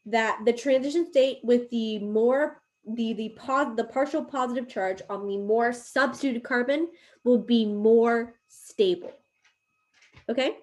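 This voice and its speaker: A woman's voice: on the high side, a little mellow. A woman giving a recorded chemistry lecture while drawing, explaining step by step, her voice a little worn from coughing. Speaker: chemistry teacher.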